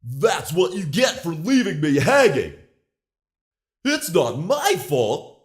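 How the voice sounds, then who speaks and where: An actor voicing a petulant, whiny toy bear character for a video game, full of indignant complaints. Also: male voice, fairly high pitch, big pitch swings, takes it slowly. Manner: indignant, blame-shifting complaints.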